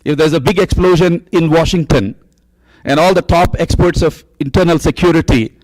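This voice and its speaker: A man preaching his answer at a church Q&A, his voice sitting slightly high for a man. He drives his points home with emphasis and keeps asking the room whether they follow. The sound is close and dry, with little room around it. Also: normal speed, darkish tone, no room sound, low-quality recording. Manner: emphatic, rhetorical questions to the audience. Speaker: guest speaker answering questions. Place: church hall.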